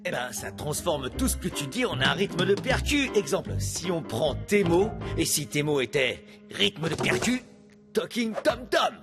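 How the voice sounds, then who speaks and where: An eager, self-assured young cat from a kids' cartoon. The voice sits fairly high and swings widely as he pitches away like an entrepreneur. Music plays behind him. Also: unhurried, gravelly, heavily acted. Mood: eager, confident. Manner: animated, self-assured patter. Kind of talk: French-dubbed children's cartoon acting.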